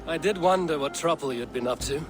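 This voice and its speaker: A male actor voices a loyal Viking warrior in a game cutscene. His voice sits a little higher than most men's, and he speaks plainly and earnestly in a measured way. Music is clearly heard underneath. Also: close to an everyday voice. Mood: warm. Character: steadfast Viking warrior, loyal, plain-spoken, dryly teasing. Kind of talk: video game cutscene acting. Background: prominent music.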